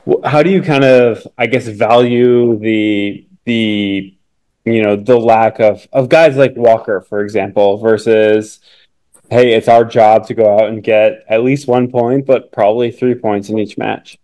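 A man's voice: on the low side, steady tone, unhurried, warm tone. A male sports reporter asking a long, rambling question with many hesitations over a remote call. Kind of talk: press conference question.

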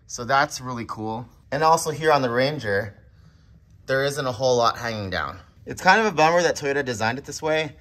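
A man in his thirties narrating a do-it-yourself truck repair, explaining each step in a relaxed, plainspoken way. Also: medium pitch, brisk. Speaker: garage channel host. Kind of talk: how-to voice-over narration.

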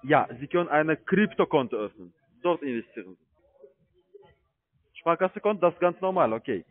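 A man with a foreign accent, a non-native speaker, pushing an insistent, questioning sales pitch. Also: lively intonation, moderate pace. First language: not German. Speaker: telesales investment agent. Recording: narrow, dry phone line.

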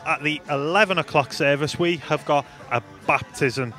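Bright-voiced young man. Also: a little high, moderate pace, faint music behind. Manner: upbeat, playful. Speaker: church news presenter. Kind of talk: scripted church announcements. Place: studio in front of a green screen. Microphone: handheld mic.

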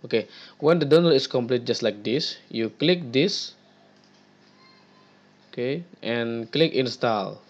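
A young man from Indonesia, not a native English speaker, talking through a computer tutorial on a headset mic in a halting way, with many fillers and repeated words. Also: mid-range voice, lively intonation, dull audio. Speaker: tutorial presenter. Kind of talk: screen-recorded gaming tutorial.

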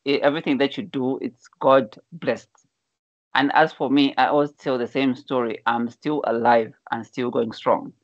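A man's voice: mid-range voice, steady tone, moderate pace, no room sound. Earnest man from Zambia on a dull call. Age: 40s. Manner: earnest storyteller, repeats words when starting a thought. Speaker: life coach guest. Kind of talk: telling his life story in an online interview. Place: indoor room at home. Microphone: video call, wired earphones. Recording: dull lo-fi video call audio.

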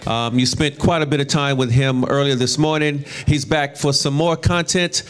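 A man's voice: mid-range voice, steady tone, moderate pace, smooth. A man acting as MC, introducing the next speaker to a seminar audience in a short, hesitant way with fillers. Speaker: event MC. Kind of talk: stage introduction.

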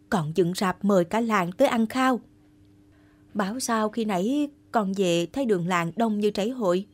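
A woman's voice: medium pitch, lightly acted. Woman as an eager elderly village mother. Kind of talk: animated historical folktale voicing.

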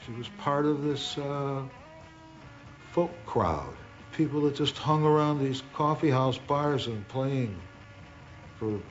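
Slow-talking older man reminiscing. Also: medium pitch, animated, lo-fi sound, soft background music. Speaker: songwriter, interviewee. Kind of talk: documentary interview.

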